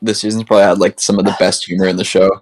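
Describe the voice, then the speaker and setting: A man's voice: sits low, level tone, very fast, darkish tone. A man co-hosting a podcast, chatting in a casual, slangy way with a group. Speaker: podcast co-host.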